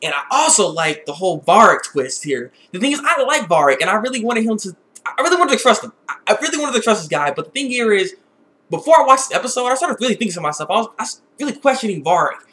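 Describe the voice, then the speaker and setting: A man giving a casual, opinionated episode review in an excitable, rambling way that often repeats words. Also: high voice, fast.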